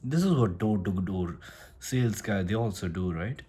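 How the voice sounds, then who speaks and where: A man's voice: fairly low pitch, lively intonation, moderate pace, warm tone, breathy edge. A man who speaks English as a second language, talking through a casual motivational monologue and often repeating and restarting his phrases. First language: not English. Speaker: self-improvement vlogger.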